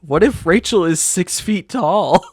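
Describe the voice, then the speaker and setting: A young man with a Maine accent co-hosts a casual podcast. His speech is excitable and full of laughter, with pitch that swings widely. The recording is close and dry. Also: measured pace, dry recording. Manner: excitable, laughing, animated storytelling. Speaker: podcast co-host. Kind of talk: casual chat.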